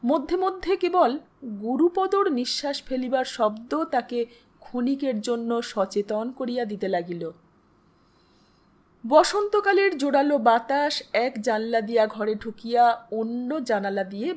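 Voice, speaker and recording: Lady reading out a literary story, voice a bit on the high side. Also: lively intonation, measured pace, darkish tone. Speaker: storyteller, audiobook narrator. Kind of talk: scripted literary story narration.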